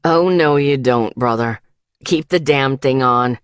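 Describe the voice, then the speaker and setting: Audiobook biker man, gruff and protective, dropped low, terse commands, very dry sound acted by a woman. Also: measured pace, dry recording. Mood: gruff, firm. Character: gruff adult male biker, blunt and protective.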